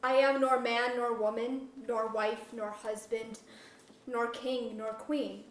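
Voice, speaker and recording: A woman's voice: on the high side, steady tone, slow. A woman playing a brooding, genderless magical royal in archaic verse at a staged reading. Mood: anguished, questioning. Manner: grand archaic verse delivery, self-questioning. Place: museum auditorium. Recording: distant microphone in echoey auditorium.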